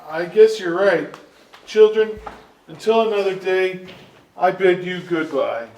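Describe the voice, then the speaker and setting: A man's voice: high voice, a little slow, darkish tone. A man playing a kindly old storyteller, earnest and theatrical, in a staged musical reading. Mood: fond. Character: kindly old storyteller, former royal page. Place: indoor stage at an arts venue. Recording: echoey stage, distant mic, faint noise.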